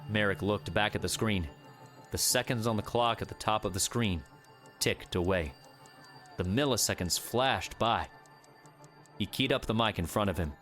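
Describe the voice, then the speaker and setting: A man's voice: lowish voice, normal speed, pauses often, fairly bright tone, faint music behind. A man reading a scripted adventure audiobook as a dramatic narrator.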